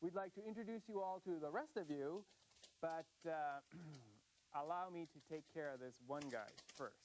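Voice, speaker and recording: An American man hosts a scripted language lesson in an upbeat voice. He speaks slightly high and enunciates slowly and carefully over a faint hiss of background noise. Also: darkish tone, rough recording. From United States. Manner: upbeat, slow deliberate enunciation. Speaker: host of a language course. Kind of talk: scripted educational presenting.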